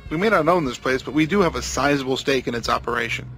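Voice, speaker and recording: A man playing a guarded, measured security officer in a game cutscene. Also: medium pitch, fairly bright tone, faint music behind, close to an everyday voice. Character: smooth guarded male security agent.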